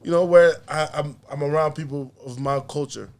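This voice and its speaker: A young man talking casually as a podcast guest, in a relaxed, rambling way with frequent laughs. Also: on the high side, moderate pace. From USA. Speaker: podcast guest, artist and comedian. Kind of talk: casual conversation.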